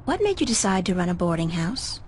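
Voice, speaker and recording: A woman's voice: fairly low pitch, big pitch swings, brisk, darkish tone, slight background noise. An actress playing a friendly, inquisitive young woman in a video game, speaking casually. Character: friendly, curious young woman, newcomer to the city.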